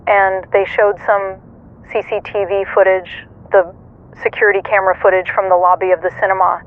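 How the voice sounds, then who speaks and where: A woman playing a grieving, guilt-ridden single mother giving halting, sorrowful testimony in a radio horror drama. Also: mid-range voice, level tone, phone-quality sound, lightly acted. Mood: shaken, sombre.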